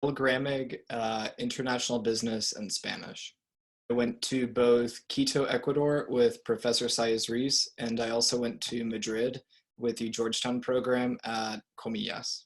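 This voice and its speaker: A young man talks through his thesis with a level, even voice that barely rises or falls. His delivery is academic and explanatory, like a student presenting research. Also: mid-range voice, moderate pace. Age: young adult. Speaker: university student.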